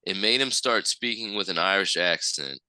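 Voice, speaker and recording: A man co-hosting a casual podcast, reading a news article aloud in an easygoing, halting way. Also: sits low, pushed and bright, smooth, no echo, low-quality recording. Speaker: podcast co-host.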